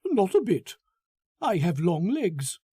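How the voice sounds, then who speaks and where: A man's voice: high voice, very animated, slow pace, mellow, husky, no echo, studio quality, exaggerated voice. A man voicing an eager, self-satisfied, sentimental and patronizing thin man in an audiobook, prattling on effusively. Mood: eager, polite. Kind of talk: audiobook character acting.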